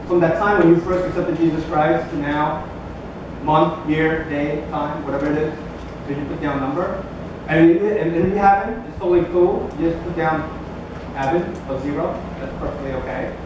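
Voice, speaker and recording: A male pastor giving a sermon, conversational and joking, building to emphatic bursts. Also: fairly high pitch. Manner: conversational, jokey preaching with rising emphatic bursts. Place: church sanctuary. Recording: echoey church from a distance with background noise.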